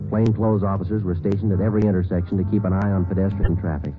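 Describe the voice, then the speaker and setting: A man voicing a terse police sergeant, deep and low, narrating in clipped, matter-of-fact sentences at a brisk clip. The sound is tinny, like an old radio broadcast with music under it. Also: fairly even intonation, natural voice. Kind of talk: 1950s radio drama narration.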